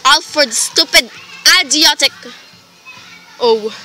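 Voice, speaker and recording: A woman's voice: high voice, sharp tone, faint music behind, exaggerated voice. A woman playing a nervous, demanding invalid wife in a radio play, with indignant, melodramatic delivery. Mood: furious, frantic. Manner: melodramatic, indignant, slow deliberate emphasis. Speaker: student actor in a radio play.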